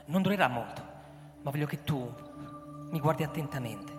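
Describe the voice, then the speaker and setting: A man's voice: fairly high pitch, lively intonation, moderate pace, lightly acted. An actor playing an anxious, obsessive man in a radio drama, hesitant and stammering, repeating his words. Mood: anxious, faltering. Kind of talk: theatrical radio drama acting. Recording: echoey hall with loud music behind. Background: music.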